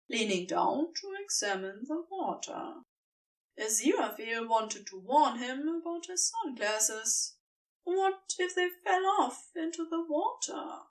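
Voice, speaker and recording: A woman's voice: high voice, distant mic, low-quality recording. A woman reading scripted story narration as the narrator of an audio fiction piece.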